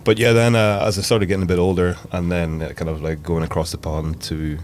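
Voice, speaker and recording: Very deep Northern Irish man. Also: brisk, slight background noise. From Belfast, Northern Ireland. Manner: relaxed, rambling, many fillers. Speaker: guest DJ and producer. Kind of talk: casual interview chat. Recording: music playing underneath.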